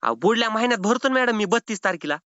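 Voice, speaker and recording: A man's voice: sits high, lively intonation, racing, bright, forceful tone, no room sound. A man playing a cheeky, evasive rural Maharashtrian borrower with a whiny, argumentative way of stalling in a comic phone call. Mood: placating. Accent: rural Maharashtrian Marathi. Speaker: prank caller playing a loan defaulter. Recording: slightly dull phone-call audio.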